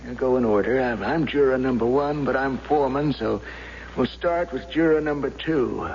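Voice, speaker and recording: An actor playing a practical jury foreman in a radio drama, informal, folksy and deliberate. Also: medium pitch, a little mellow, a little hiss or hum, lightly acted. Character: plain-spoken, practical male jury foreman. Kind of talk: 1970s radio drama acting. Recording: muffled old radio, music behind.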